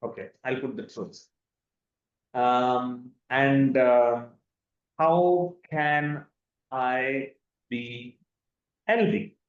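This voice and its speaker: Excitable man in his thirties, echoey lo-fi call. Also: mid-range voice, extremely slow, pauses often. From India. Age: 30s. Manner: enthusiastic, repeats words often. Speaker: webinar presenter. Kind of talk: live online demonstration. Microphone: video call. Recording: echoey room, dull lo-fi sound.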